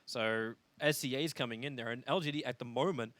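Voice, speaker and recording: An Australian man working as an esports caster, bantering with his co-caster between matches. He talks at an everyday pitch and keeps things loose and jokey. His delivery is full of quick asides. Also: fairly bright tone, dry recording. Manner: chatty and joking, quick asides. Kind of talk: casual pre-game commentary banter.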